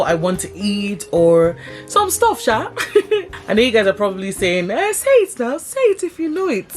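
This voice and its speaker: A young woman vlogging casually to her viewers, chatty and laughing often. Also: lowish voice. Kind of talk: casual vlog talk to camera. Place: village home abroad.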